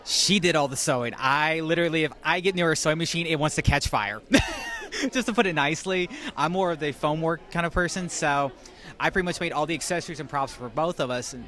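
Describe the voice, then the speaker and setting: A relaxed woman talking through her cosplay builds at a convention. Her voice sits fairly low for a woman, and she keeps things easygoing, poking fun at her own skills. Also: a little fast. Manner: laid-back, laughs at herself. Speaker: cosplayer guest. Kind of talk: casual interview answers. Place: at a fan convention.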